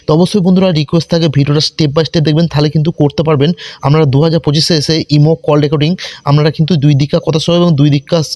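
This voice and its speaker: A man's voice: fairly high pitch, steady tone, racing, darkish tone. A man narrating a step-by-step tech tutorial as a channel host, repeating himself and often addressing viewers as friends.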